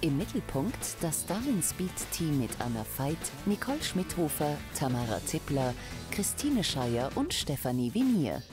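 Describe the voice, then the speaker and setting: A woman reading a scripted news voice-over in a smooth, measured way. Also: low-pitched, very animated, over music. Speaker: news narrator.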